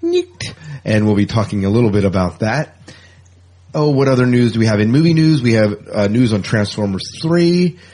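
A man with a lowish, rough-edged voice hosts a casual fan podcast. He rambles along in a jokey way, repeating words for emphasis and chatting like a friend. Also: animated, moderate pace, a little mellow. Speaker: podcast co-host.

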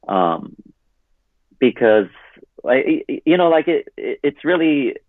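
A man's voice: mid-range voice, very animated, slow pace, no echo. Rambling man on a tinny line. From California, US. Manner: rambling, heavy use of "like". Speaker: podcast guest, runner. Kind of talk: casual interview chat.

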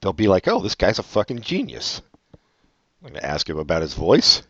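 Male co-host, sarcastic and profane, low voice, dull dry recording. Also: wildly swooping pitch, fairly quick, bright, forceful tone, no room sound. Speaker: podcast co-host. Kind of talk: casual chat, reading advice letters.

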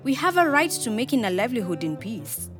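Woman playing an assertive villager, lively pitch, guitar behind. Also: fairly quick. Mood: indignant.

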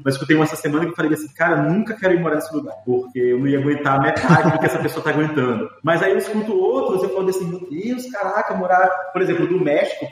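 A man from the northeast, a podcast guest telling stories in a relaxed, chatty way and laughing often. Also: on the high side. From Brazil. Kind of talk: casual storytelling interview. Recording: echoey long reverb, faint music.

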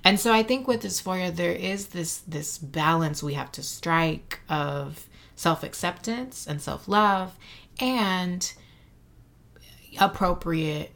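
A low-pitched woman speaks slowly as an interview guest, with a gravelly edge and a bright, forceful tone. She pauses to search for words, often filling gaps with "um" and "like.". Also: lively intonation. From Mexico City and San Antonio, Texas. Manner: thoughtful, searching for words, frequent um and like. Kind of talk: reflective interview conversation.

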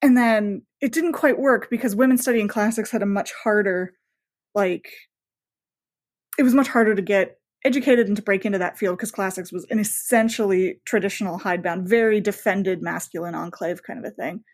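A woman's voice: mid-range voice, moderate pace. A woman novelist talking casually as an interview guest, enthusiastic and rambling, often voicing her own thoughts as asides.

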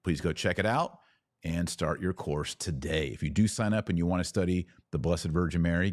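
A man with a low-pitched voice speaks as a podcast host and teacher. His delivery is conversational and earnest, explaining as he goes. The recording is dry and close, with very little room sound. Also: no room sound. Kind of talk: casual teaching podcast talk.

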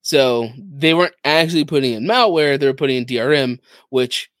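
A man with a medium-pitched voice explains gaming news in a casual, conversational way as a podcast co-host. The recording is very dry, with hardly any room sound. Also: expressive, fairly quick, fairly bright tone, no echo.

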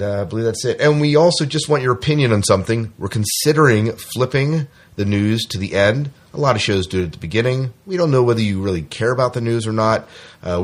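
A man hosting a podcast in a casual, informal way, with some self-deprecating joking. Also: lowish voice. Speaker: podcast host.

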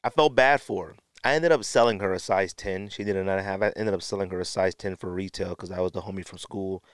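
Low-voiced American man telling stories. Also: fast, smooth, dry recording, studio quality. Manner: animated storyteller acting out quotes, slangy. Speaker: podcast host. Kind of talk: casual storytelling podcast talk.

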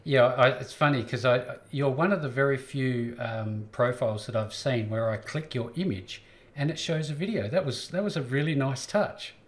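A man's voice: mid-range voice, moderate pace. Upbeat male interview host. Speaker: show host. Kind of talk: interview hosting.

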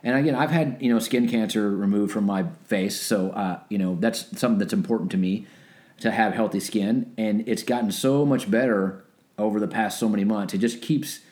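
A man in his late forties co-hosting a health podcast, relaxed and joking with wry asides. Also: on the low side, moderate pace. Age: 40s. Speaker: podcast co-host. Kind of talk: casual health podcast chat.

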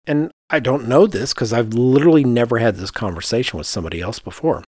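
A man's voice: medium pitch, fast, a little mellow, dry recording, clean studio sound. A man co-hosting a podcast as an architect, talking casually and thinking aloud, often voicing his own reasoning as quoted asides. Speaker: podcast host.

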